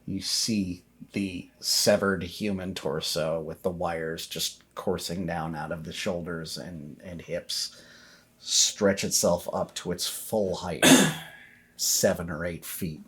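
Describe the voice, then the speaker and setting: A man working as game master, narrating a horror tabletop game in an improvised, descriptive, halting way. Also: deep voice, fairly even intonation, a bit bright.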